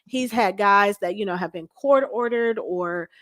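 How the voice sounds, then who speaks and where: A woman's voice: mid-range voice, measured pace, a bit bright, no echo. A middle-aged American woman telling personal stories conversationally as an interview guest. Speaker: interview guest, church first lady and youth mentor.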